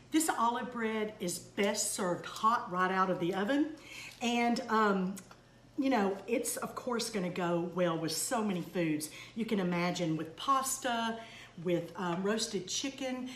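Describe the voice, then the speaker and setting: Chatty woman in a roomy kitchen. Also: mid-range voice. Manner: chatty, homey, talks while working. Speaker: cooking segment host. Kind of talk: casual cooking demonstration. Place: large home kitchen, indoors. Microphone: fixed camera across the kitchen counter. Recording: roomy kitchen with faint hum.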